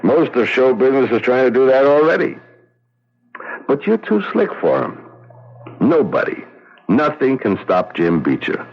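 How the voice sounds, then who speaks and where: An actor playing a blunt, level-headed middle-aged confidant, needling and streetwise, in 1970s radio drama style. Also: male voice, mid-range voice, big pitch swings, moderate pace, gravelly, pauses often. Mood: wry, needling. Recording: muffled vintage radio broadcast, music behind.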